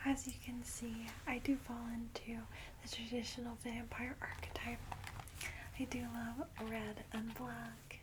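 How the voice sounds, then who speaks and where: Whispering woman, gentle ASMR vampire guide. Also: fairly high pitch, little pitch movement, heavy background noise. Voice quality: breathy unvoiced whisper. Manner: gentle, soothing, slow reassurance. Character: friendly, caring old-fashioned female vampire guide.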